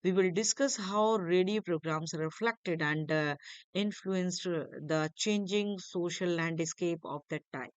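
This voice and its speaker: Woman lecturer, very slow delivery, halting read-aloud style, dry close sound. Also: on the low side, smooth, no room sound. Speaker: lecturer presenting a history lesson. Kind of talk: slide-by-slide educational lecture, read from notes.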